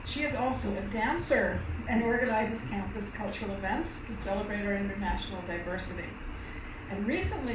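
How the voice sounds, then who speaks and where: A woman moderating a university event, introducing a speaker in a chatty way with many fillers. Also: medium pitch. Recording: echoey room from far off, noisy.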